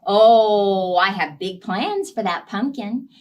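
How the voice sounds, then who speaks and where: Woman as a deliberate, scheming storybook monkey, animated. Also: mid-range voice, distant mic. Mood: sly, confiding. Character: male storybook monkey, wronged, calmly scheming trickster.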